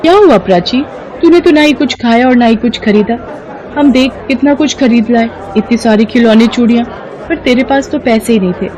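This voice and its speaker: A woman voicing a boastful, teasing young village girl with a chatty, mocking manner in an animated story, music behind. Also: on the high side, moderate pace, a faint crowd, natural voice, rough recording. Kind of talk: animated moral story voice acting.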